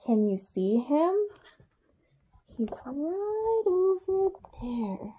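Slow, high-voiced young woman reading to children. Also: muffled recording, a little hiss or hum. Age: young adult. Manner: expressive, exclaiming, addressing young children. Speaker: childcare supervisor reading a bedtime story. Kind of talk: children's picture book read-aloud.

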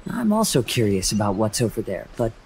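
Gentle, lilting young wanderer. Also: male voice, fairly low pitch, sing-song, fairly quick, a little hiss or hum, poor audio. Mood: calm, attentive. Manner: soft-spoken, poetic, storytelling. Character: gentle, composed young male wandering swordsman. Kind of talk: anime game voice acting.

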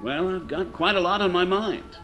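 A man's voice: high-pitched, normal speed, a bit bright. Man acting a breezy, cynical racketeer over music. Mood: glib, cynical. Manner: jaunty, glib. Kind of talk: radio drama acting.

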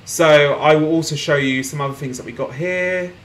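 A young man casually commenting on a desktop game as he plays, reading posts aloud and laughing softly, recorded at home with faint background noise. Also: fairly high pitch, moderate pace. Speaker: gaming streamer. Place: small room at home, indoors.

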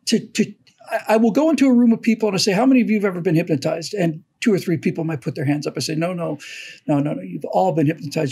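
Husky-voiced older male host. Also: high voice. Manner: animated storyteller, frequent restarts. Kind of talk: casual commentary chat.

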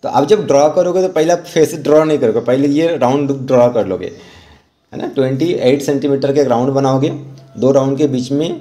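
Mellow-voiced Indian man teaching drawing. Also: mid-range voice, moderate pace, faint music behind. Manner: instructional, encouraging. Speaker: rangoli art teacher and channel host. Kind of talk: informal step-by-step drawing tutorial.

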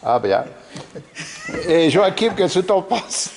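A man with a fairly high voice chats with people in a lively, prompting way, tossing in little tag questions. He films from behind the camera in a large judo hall whose long echo rings around him, over faint background noise. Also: slow pace, creaky. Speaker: interviewer filming at the club. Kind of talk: casual chatty interview. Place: large indoor judo dojo.

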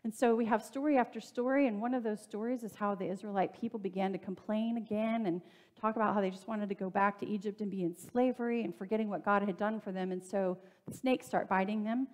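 A woman in her thirties preaches from the lectern of a church sanctuary. Her delivery is easy and conversational, with small asides and fillers as she talks to the congregation. Her voice sits at an ordinary middle pitch for a woman. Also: steady tone, quick pace, a little mellow. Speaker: pastor. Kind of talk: sermon.

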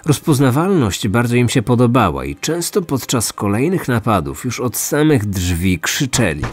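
A man's voice: mid-range voice, very animated, normal speed. A man hosting a true-crime podcast, reading scripted storytelling narration. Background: music.

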